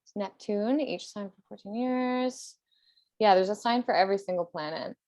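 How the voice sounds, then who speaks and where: A woman's voice: mid-range voice, normal speed, darkish tone, no echo. A woman hosting a podcast in casual conversation, sometimes reading aloud. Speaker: podcast host.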